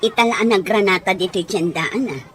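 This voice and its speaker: A woman's voice: mid-range voice, fairly quick, fairly bright tone, a little hiss or hum, natural voice. A woman playing a forgiving, comforting adult friend in an audio drama. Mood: friendly, comforting. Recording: music and crowd sounds behind.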